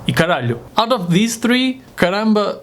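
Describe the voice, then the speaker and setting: A man's voice: high voice, very animated, moderate pace, fairly bright tone, a little hiss or hum. A man from Portugal with a Portuguese accent, a native Portuguese speaker, giving an informal vocabulary lesson in a casual, jokey manner. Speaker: host teaching vocabulary. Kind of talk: informal video language lesson.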